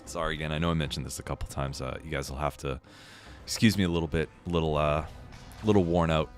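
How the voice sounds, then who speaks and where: A man casually commentating his game on a live stream, a little hoarse and sniffly from a cold, laid-back and chatty. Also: extremely low pitch, steady tone, fast. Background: faint game music.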